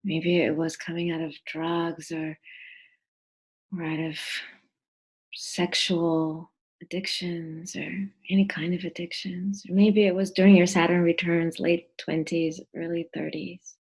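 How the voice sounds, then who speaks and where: A woman guides a meditation in a lowish, husky voice. Her delivery is soft and breathy, often sinking toward a whisper. She speaks gently and deliberately, leaving pauses between short phrases. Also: little pitch movement.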